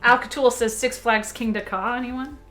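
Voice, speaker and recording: A young woman chatting casually on a stream, reading out viewer messages and telling animated stories with fillers and giggles. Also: fairly high pitch, normal speed. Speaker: stream co-host. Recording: faint music behind.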